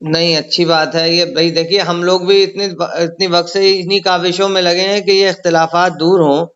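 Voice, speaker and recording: Conciliatory high-voiced man on a phone call. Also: flat delivery, moderate pace, a bit bright. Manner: conciliatory, deferential, frequent agreeing. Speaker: caller. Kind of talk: phone conversation. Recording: dull lo-fi phone call recording.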